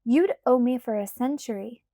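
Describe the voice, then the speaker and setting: A woman's voice: a little high, moderate pace, dark tone, no echo, clean studio sound, close to an everyday voice. A woman voicing an exasperated, stubborn young woman who groans and protests.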